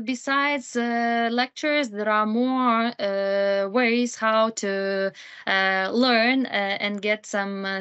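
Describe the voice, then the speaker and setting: A woman introduces a university program to prospective students. She talks in a halting way, filling her pauses with frequent "uh"s, and her pitch sits in an ordinary middle range. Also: fairly bright tone, clean tone. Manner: hesitant, many fillers. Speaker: program coordinator. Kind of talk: informational presentation.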